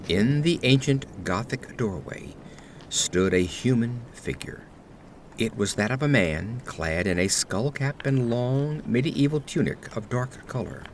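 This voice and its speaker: A man's voice: fairly low pitch, slight background noise. A man playing a haunted aristocrat who narrates a gothic horror audio drama in formal, theatrical, ornate phrasing. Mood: dread. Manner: formal, theatrical, expressive delivery. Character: haunted aristocratic man recounting terror in grave, ornate words.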